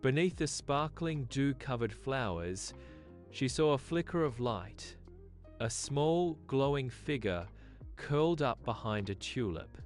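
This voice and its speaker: A woman's voice: a bass voice, animated, slow, a little mellow, soft background music. A woman narrating a scripted bedtime story for children.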